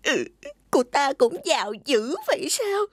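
A woman's voice: high voice, very animated, unhurried, crowd noise. A woman with a southern Vietnamese accent voicing an anxious, easily flustered young servant woman in a cartoon dub, full of exclamation. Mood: astonished. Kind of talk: animated motion comic dub.

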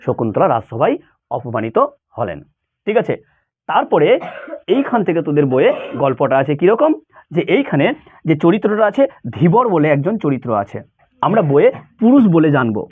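Animated male teacher on a phone-like recording. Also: on the high side, moderate pace, long pauses, breathy edge. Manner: conversational, repeated check questions and rhetorical questions. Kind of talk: informal lesson, explaining a story to school students. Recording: thin, phone-like sound.

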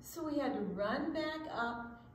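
A woman's voice: high voice, lively intonation, a little slow. An older woman from Iowa, telling stories and reading picture books aloud to children. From Iowa, United States. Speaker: children's storytime presenter. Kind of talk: storytelling and picture-book read-aloud for children. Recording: faint background noise.

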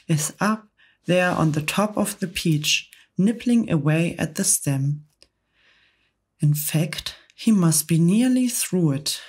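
A woman voicing a kind, gentle female ladybird in a storybook reading, with a soft, motherly manner. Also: deep voice, husky, full character voice.

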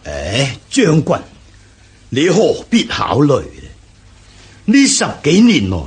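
An actor playing an earnest Xiongnu noble elder urging revolt in a historical radio drama, theatrical and measured, with a Hong Kong Cantonese accent. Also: male voice, a little high, breathy edge, exaggerated voice.

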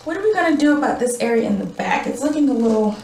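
A woman acting a gentle, hopeful refugee in a radio play. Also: fairly high pitch, sing-song, fast talker, a little hiss or hum. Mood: thoughtful. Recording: echoey room, faint music behind.

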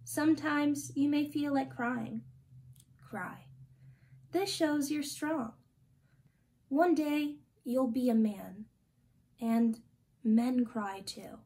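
A young woman reads a picture book aloud for children in a high voice. Her pace is very slow and deliberate, with gently expressive phrasing. She is recorded indoors in a small room. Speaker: storytime reader.

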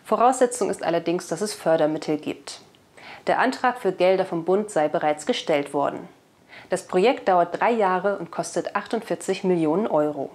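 A woman's voice: fairly low pitch, animated, normal speed, a little mellow. A woman in her thirties with a German accent presents scripted local news as the host of a weekly video bulletin. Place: indoor video studio. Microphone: lapel mic.

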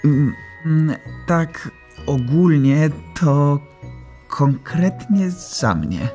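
An actor playing a dazed, lovestruck wounded young soldier in a radio play, halting, naive and dreamy. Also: male voice, on the high side, expressive, mellow, soft background music, exaggerated voice. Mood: shy, hopeful. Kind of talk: children's radio play acting.

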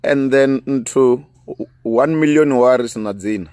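A man in his thirties from South Africa with a Venda accent, whose first language is Tshivenda, hosting a casual podcast outdoors on a close studio mic, laughing often and trailing off quietly. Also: medium pitch, unhurried, no echo. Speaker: podcast host. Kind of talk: casual solo podcast chat with phone call. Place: outdoors in a sunny garden. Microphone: large studio mic close up.

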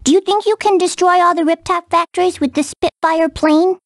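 A small, friendly monastery defender from a fantasy game. The voice is extremely high and dry, with a direct, encouraging manner. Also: female voice, steady tone, a little fast, dry recording. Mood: earnest, encouraging. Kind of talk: video game character dialogue.

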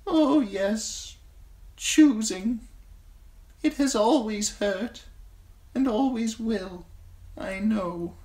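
Woman acting ancient blind old man, weary, gentle, slow grave delivery, high thin voice. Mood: grave, sorrowful.

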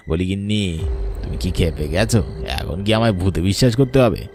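A man's voice: deep voice, lively intonation, moderate pace, darkish tone, slight background noise. A man voicing a stubborn farmer husband who talks in a teasing, dismissive way. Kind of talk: dramatized storytelling.

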